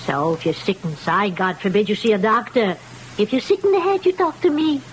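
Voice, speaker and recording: A woman's voice: mid-range voice, lively intonation, fairly quick, close to an everyday voice, poor audio. An actress playing a fussy, insistent older mother-in-law from the Bronx in an old radio drama, scolding and coaxing with Yiddish-style phrasing. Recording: old radio recording, faint noise.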